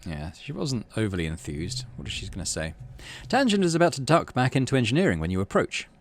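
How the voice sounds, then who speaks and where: A man's voice: on the low side, wildly swooping pitch, fast, slight background noise. A middle-aged man playing through a game, chatting casually and reading its narration aloud. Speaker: gaming channel host, player.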